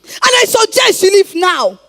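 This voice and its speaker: A haughty Nigerian village mother on stage. Her voice is very high and swoops widely as she declaims scornful, proverb-laden rebukes in protective pride. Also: big pitch swings, measured pace, pushed and bright, full character voice. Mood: scornful outrage. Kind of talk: theatrical stage play acting.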